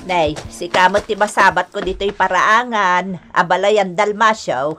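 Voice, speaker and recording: An actress playing a demanding, scolding grandmother and mother-in-law in a radio comedy drama, with a nagging, drawn-out delivery. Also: medium pitch, steady tone, a bit bright, faint music behind, close to an everyday voice. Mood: nosy.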